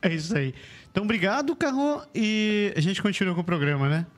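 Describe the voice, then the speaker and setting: A man's voice: sits high, lively intonation, a little fast. A man, chatting casually and jovially as a podcast co-host. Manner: jovial, joking. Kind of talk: casual chat.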